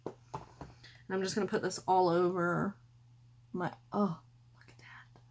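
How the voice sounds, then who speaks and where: A woman, hoarse and losing her voice, chatting casually through a makeup tutorial at home. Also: lowish voice, level tone, slow, a little mellow. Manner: chatty, rambling, self-correcting. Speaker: beauty vlogger. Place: room at home by a window.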